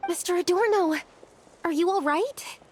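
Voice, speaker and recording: A woman's voice: very high voice, moderate pace, a little hiss or hum. An actress voicing an earnest, concerned young woman, a comrade in a fantasy video game. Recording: game music behind the voice.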